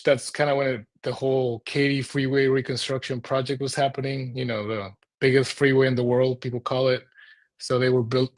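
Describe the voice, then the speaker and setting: A man in his thirties speaks at a middle pitch, telling his own story as a guest in a conversation. He is from Mexico and was raised in Houston. His delivery is informal and rambling, and he often poses rhetorical questions to himself. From Mexico, moved to Houston as a child. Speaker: urban planner, interview guest. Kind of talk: conversational personal storytelling in an interview.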